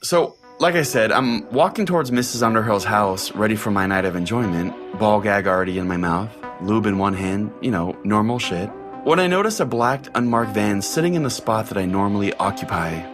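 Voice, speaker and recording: A man acts as a small-town pastor hosting his own comedy podcast. His voice sits on the low side, and he rambles through his storytelling with deadpan, dejected exasperation. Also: fairly quick, music in the background, lightly acted. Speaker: podcast host. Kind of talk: comedic audio fiction, conversational storytelling.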